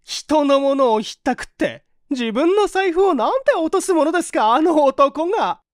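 A woman's voice: high voice, big pitch swings, normal speed, no echo, clean studio sound, voice pushed up. A woman voicing a hot-tempered landlady in her fifties, snapping and scornful, in a story reading. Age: 50s. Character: shrill, hot-tempered middle-aged landlady, scornful and scolding.

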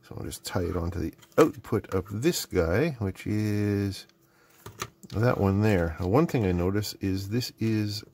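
Low gravelly man, casual bench commentary. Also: a little slow, darkish tone, slight background noise. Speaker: host of an electronics mailbag video. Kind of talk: casual unscripted bench commentary while unboxing and testing gadgets. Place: indoor electronics workbench.

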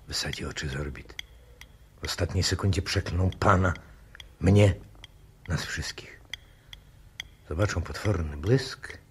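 A grim, deep-voiced fatalistic professor. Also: male voice. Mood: ominous, intense. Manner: deliberate, ominous, theatrical, swelling from hushed to forceful. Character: grim, fatalistic male professor calmly awaiting a deadly explosion. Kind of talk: 1960s Polish radio drama acting. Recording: noisy old radio drama recording.

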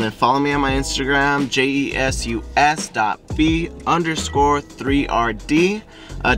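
Casting: man in his 20s, mid-pitched, chatty vlog host with slangy, informal delivery over faint music. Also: pushed and bright. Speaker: actor and channel host. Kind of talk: casual vlog intro. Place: inside a parked car.

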